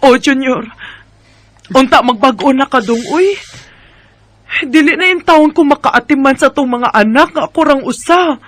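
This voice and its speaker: A man's voice: very high voice, animated, moderate pace, pauses often, fairly bright tone, dry recording. A man acting a long-suffering, emotional wife and mother in a radio drama, with a Filipino Bisaya accent. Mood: distressed pleading. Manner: emotional, scolding and pleading.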